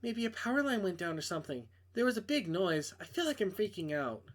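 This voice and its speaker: A man voicing an anxious, self-conscious young woman in a visual novel, hesitant and halting. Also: high-pitched, sing-song, voice pushed up. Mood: shaken. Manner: hesitant, halting, brushing things off.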